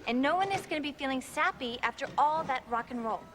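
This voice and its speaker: Vain, airy teen girl, highish voice. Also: expressive, a little fast. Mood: breezy, superior. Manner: breezy, flippant. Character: vain, airy, self-absorbed teenage girl. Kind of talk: television drama acting. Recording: noisy, distant TV soundtrack.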